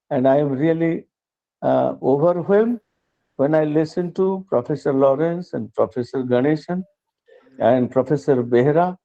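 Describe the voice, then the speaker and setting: An older man with an Indian English accent giving an earnest, measured acceptance speech, often restarting his phrases. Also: a little high, dark tone, muffled recording. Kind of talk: formal speech.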